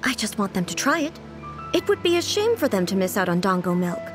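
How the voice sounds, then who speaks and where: A woman voicing a calm, regal female goddess ruler in a game cutscene, speaking in a measured, composed way. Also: mid-range voice, over music, close to an everyday voice. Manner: measured, poised, gently matter-of-fact.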